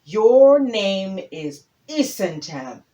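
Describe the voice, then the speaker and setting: A woman voicing a small, sly male tortoise in a folktale, speaking slowly and deliberately. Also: mid-range voice, very animated, mellow, muffled recording. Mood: bold. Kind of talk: theatrical folktale storytelling.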